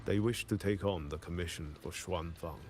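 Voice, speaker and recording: A man acting a grave, resolute commander of an old hold in a video game, speaking in a measured, solemn way. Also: sits low, a little mellow, faint music behind, lightly acted. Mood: solemn, storytelling. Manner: measured, solemn, deliberate delivery.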